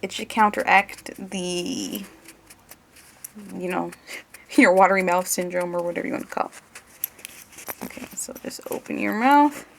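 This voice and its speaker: Creaky-voiced woman, casual ASMR roleplay. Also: fairly low pitch, unhurried, pauses often, fairly bright tone, slight background noise, poor audio. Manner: casual, hesitant, talking through each step. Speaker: ASMR creator playing an examiner. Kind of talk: ASMR roleplay in normal speaking voice.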